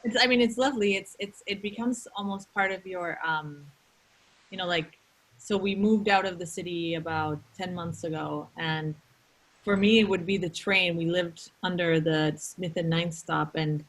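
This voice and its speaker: A woman from Colombia with two young kids, thoughtfully talking through her art and city life in a relaxed chat. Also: on the low side, normal speed, rough recording. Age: adult with children. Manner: reflective, thoughtful, many restarts. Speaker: consulting artist. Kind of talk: casual conversation.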